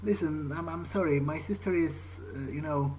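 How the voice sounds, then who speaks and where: A man's voice: on the high side, normal speed, noisy background. A man thinking aloud in character during a game playthrough, with hesitant, muttered asides. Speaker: gaming video creator. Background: loud game music.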